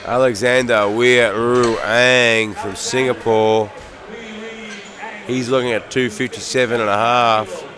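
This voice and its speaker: A man with an Australian accent giving steady, measured live commentary with dry jokes. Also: mid-range voice. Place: competition venue. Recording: crowd noise behind.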